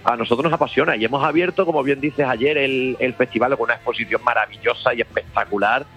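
A man's voice: medium pitch, quick pace, a bit bright, muffled recording, soft background music. A man, a festival organizer talking enthusiastically in a casual radio interview, rambling with many restarts.

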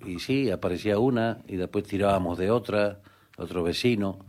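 Man, middle-aged, low voice, interview guest, hesitant, many restarts, earnest. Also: moderate pace, a little mellow. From Canary Islands. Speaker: guest, rural real estate agent. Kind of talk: conversational interview.

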